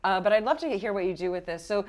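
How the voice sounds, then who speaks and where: A woman's voice: medium pitch, expressive, fast talker, darkish tone. A woman narrating as game master of a roleplaying game, casual and encouraging in an improvised, conversational way.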